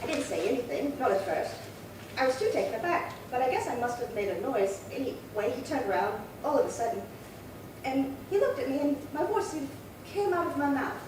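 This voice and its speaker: A woman's voice: high voice, very animated, a little fast. A woman acting a prim, chatty, indignant old lady in her late seventies in a 1950s-style radio crime drama, fussy and rambling. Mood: aggrieved. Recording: very echoey, distant, noisy room.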